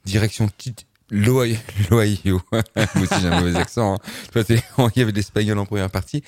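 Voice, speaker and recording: A man's voice: lowish voice, steady tone, fairly quick, darkish tone, no echo, clean studio sound. A man hosting a radio music show, chatting casually as he introduces tracks and stumbling over foreign names. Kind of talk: casual on-air chat presenting tracks.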